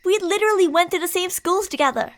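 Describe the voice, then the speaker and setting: A woman's voice: very high voice, fast talker. A woman playing a possessive, delusional young yandere bully in an ASMR roleplay, teasing, insistent and emotionally volatile.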